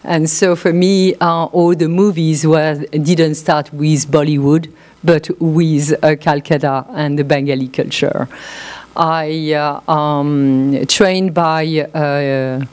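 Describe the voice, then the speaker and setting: A middle-aged woman with a French accent giving a conference talk, pausing often with fillers. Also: sits low, steady tone, a little mellow. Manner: hesitant, many pauses and fillers. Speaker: panel speaker. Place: conference hall.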